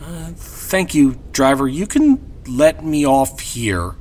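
Nervous gentleman traveller: high, very animated, questioning, polite, for horror audio drama. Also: takes it slowly, full character voice.

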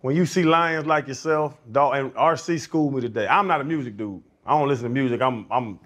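A man's voice: medium pitch, fairly quick, fairly bright tone. A man co-hosting a podcast, asking loose, rambling, slangy questions in an indoor studio. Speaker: podcast co-host. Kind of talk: casual interview questions. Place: indoor studio set with plants.

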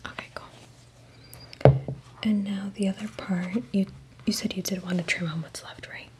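A woman's voice: mid-range voice, steady tone, unhurried. Casting: young woman, whispered ASMR personal attention, casual reassuring delivery, close-miked in a small room. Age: young adult. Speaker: ASMR creator playing a hairdresser. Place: small room indoors at home. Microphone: close desktop condenser microphone.

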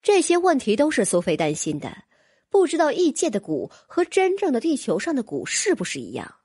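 A woman's voice: high voice, wildly swooping pitch, fairly quick, a little mellow, breathy edge, no room sound, studio quality. A woman narrating a fantasy audiobook from a script, as a storyteller.

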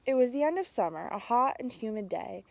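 A young adult woman reading a picture book aloud outdoors, with a measured, expressive storytelling delivery. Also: on the high side, gravelly, dry recording. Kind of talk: storybook read-aloud, narrated as a tree. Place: outdoors in snowy winter woods.

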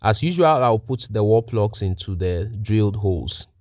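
A man's voice: lowish voice, animated, normal speed, heavy background noise. A man giving methodical, plain-spoken step-by-step narration as a technician presenting a practical tutorial.

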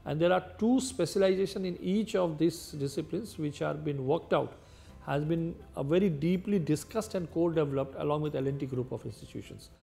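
A man's voice: fairly high pitch, fairly quick, gravelly. A middle-aged man with an Indian accent, a university official explaining in an interview.

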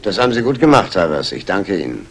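An actor playing a brisk police inspector with clipped, authoritative delivery in a 1960s crime radio play. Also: male voice, sits low, big pitch swings, heavily acted. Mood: brisk, commanding. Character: brisk, commanding male Scotland Yard police inspector. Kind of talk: 1960s German radio crime drama acting. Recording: faintly noisy vintage radio recording.

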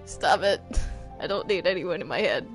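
A gamer chatting casually during a video game roleplay, excitable and stammering, often repeating words. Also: medium pitch, normal speed, soft background music. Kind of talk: casual gameplay chat during Minecraft roleplay.

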